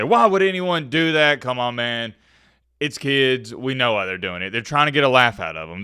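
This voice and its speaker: A man hosting a reaction video, swinging lively through his pitch with a bright, forceful and very clear voice. He is slangy and opinionated, talking casually to viewers. The sound is dry and close. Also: dry recording.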